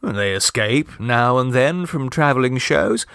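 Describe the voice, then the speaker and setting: A man voicing a witty young gentleman in a storybook, lazy, droll and unconcerned. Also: medium pitch, animated, unhurried, creaky, smooth, no room sound, studio quality. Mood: mock-serious.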